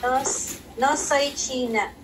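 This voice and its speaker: Woman, language student, very slow, careful, unsure, video call, faint noise. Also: sits high, fairly even intonation. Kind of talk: practicing phrases in a class.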